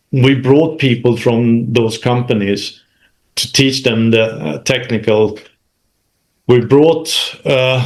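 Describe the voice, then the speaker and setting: A man's voice: mid-range voice, low-quality recording. Man recounting his career. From Sweden. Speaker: interview guest, manufacturing executive. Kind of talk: recounting career experience in conversation.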